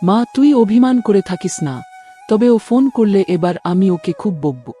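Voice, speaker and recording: A woman acts a middle-aged mother-in-law in a cartoon story. Her mellow voice rises and falls expressively, affectionate and reassuring with a gentle scolding edge. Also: mid-range voice, expressive, unhurried, faint music behind, natural voice. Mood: tender, encouraging.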